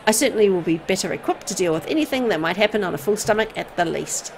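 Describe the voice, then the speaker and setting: A woman reading a horror visual novel aloud in an expressive storytelling style. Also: medium pitch, quick pace, gravelly, a faint crowd, slight background noise.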